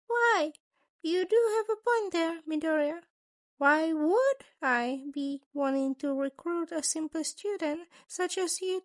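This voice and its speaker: Woman voicing a high-pitched, sly little mammal principal. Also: no echo. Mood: politely sly. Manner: elaborately polite, wordy, theatrical. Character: small sly chimera mammal school principal, male, sadistic, politely menacing.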